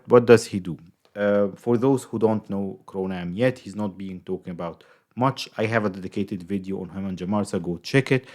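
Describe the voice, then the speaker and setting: Young Egyptian man with an Egyptian accent, gaming YouTuber, casual rambling guide talk, slightly low voice. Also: a little mellow. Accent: Egyptian Arabic. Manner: chatty, rambling, many fillers and restarts.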